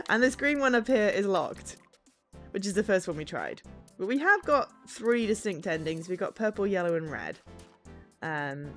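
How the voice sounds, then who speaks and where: A woman chatting as she streams a game, a bit hoarse from a sore throat, bubbly and quick to laugh. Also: medium pitch. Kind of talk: casual game-stream chat.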